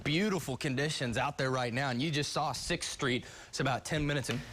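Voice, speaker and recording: A man's voice: mid-range voice, fairly quick, a bit bright, slight background noise. An American man working as a TV meteorologist, giving a conversational weather forecast.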